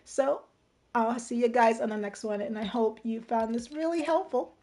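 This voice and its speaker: A woman hosting a casual livestream tutorial with a slightly hoarse, strained voice, explaining step by step and checking in as she goes. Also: a little high, moderate pace, warm tone. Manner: informal, step-by-step explaining, checking in with "right?" and "okay?". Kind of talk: casual game-design tutorial.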